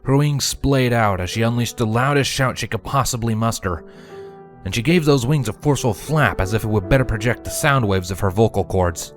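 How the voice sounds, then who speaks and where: A man giving an expressive scripted narration of a fan fiction story. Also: medium pitch, a little fast, faint music behind.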